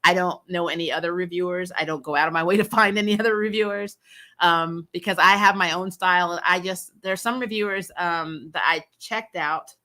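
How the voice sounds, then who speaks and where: Blunt, bright-voiced woman reviewer. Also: on the low side, steady tone, a little fast. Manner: blunt, unfiltered, swears freely. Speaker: host of a TV recap show, reviewer. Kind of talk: casual livestream chat answering viewer questions.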